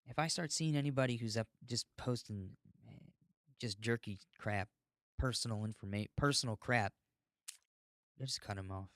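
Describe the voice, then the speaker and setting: A man's voice: mid-range voice, moderate pace, pauses often, no echo, studio quality. A man hosting a podcast in a casual, rambling monologue, informal and given to ranting and swearing. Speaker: podcast host.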